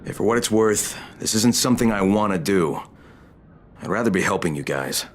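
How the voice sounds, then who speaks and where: A tough, wry undercover operative, acted by a man in a video game. His voice is low and blunt, with slight background noise. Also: expressive, moderate pace, close to an everyday voice. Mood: matter-of-fact. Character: gruff, weary male undercover operative, reluctant enforcer. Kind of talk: video game cutscene acting.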